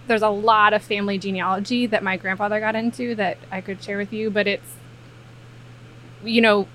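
A woman's voice: medium pitch, a bit bright, a little hiss or hum, low-quality recording. A woman from the Maryland and Virginia area, a guest accountant chatting casually on a podcast with lots of fillers. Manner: chatty, frequent fillers, laughs easily. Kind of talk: casual interview chat.

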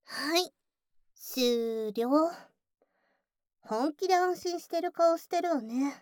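A woman's voice: high-pitched, expressive, slow, no room sound, studio quality. A woman voicing a bossy, teasing tsundere childhood friend working as a cafe waitress in an intimate ASMR voice drama, often slipping into whispers. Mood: scolding. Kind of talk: Japanese ASMR situation voice drama.

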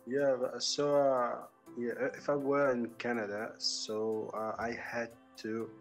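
A young man from Germany, a native German speaker and student, chatting haltingly in English with many fillers. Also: medium pitch, flat delivery, faint music behind. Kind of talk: casual chat.

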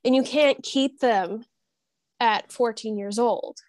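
A woman's voice: on the high side, takes it slowly, darkish tone, no room sound. A woman talking casually as a panelist in a podcast discussion. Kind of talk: casual chat.

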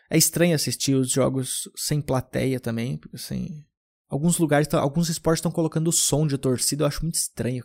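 A man's voice: medium pitch, gravelly, a little mellow. A young man from southern Brazil hosting a podcast, telling loose, rambling anecdotes in casual chat with frequent restarts. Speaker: podcast host.

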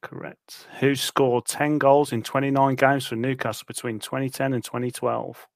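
A man's voice: mid-range voice, level tone, normal speed, creaky, no room sound, studio quality. A man co-hosting a casual podcast, reading out quiz questions and laughing at himself. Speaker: podcast co-host running the quiz.

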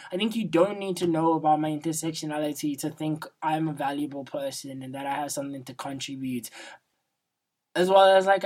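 A man's voice: a little mellow, low-quality recording. A young man with a feminine-sounding voice hosts a solo podcast, talking casually and reflectively in slangy speech peppered with "like" and "right?". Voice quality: feminine-sounding, not a deep baritone. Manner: informal, slangy, seeks agreement with frequent "right?" and "like". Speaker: podcast host.

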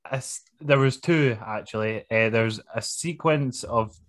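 A man's voice: medium pitch, measured pace, a bit bright. A man co-hosting a podcast, laid-back and dry, reading out trivia and chuckling between remarks. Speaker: podcast co-host. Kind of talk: casual chat.

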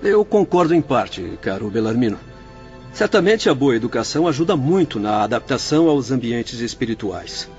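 Calm young spirit doctor, radio drama, formal and explainy, a bit lo-fi w/ soft music. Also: male voice, mid-range voice, moderate pace. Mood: patient explaining. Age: young adult.

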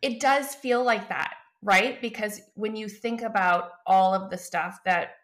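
A woman's voice: mid-range voice, measured pace, a bit bright. A woman on a video call, a guest and co-author, talking casually with frequent fillers and laughs. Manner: chatty, laughs often, many fillers. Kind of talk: casual interview talk.

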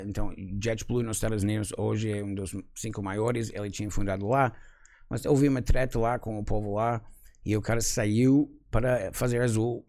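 A man in his forties, a native English speaker, talking casually and laughing often as an interview guest, with frequent tag questions. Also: fairly low pitch. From United States. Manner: relaxed, frequent "sabe?" tags, laughs often. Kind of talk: casual conversation.

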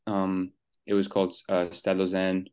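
A young man from Switzerland speaks in a very low, even tone, hardly moving his pitch. His voice is smooth and clear. He talks hesitantly, with frequent ums and restarts, as a guest being interviewed. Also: moderate pace. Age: young adult. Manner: hesitant, many fillers and restarts. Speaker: guest, soccer player. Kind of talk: casual interview.

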